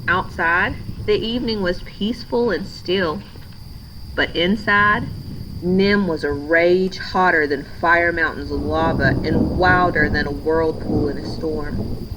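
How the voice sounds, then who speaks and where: Lady reading a kids book out loud, slow and expressive, lowish voice, bit of background noise. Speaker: storybook reader. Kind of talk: scripted children's story narration, read aloud.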